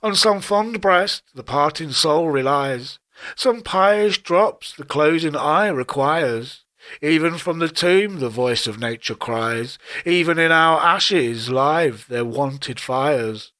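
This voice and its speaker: A man reciting classic poetry in a solemn, formal style, with measured and deliberate phrasing. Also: a little high, lively intonation, bright, forceful tone. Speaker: poetry reader.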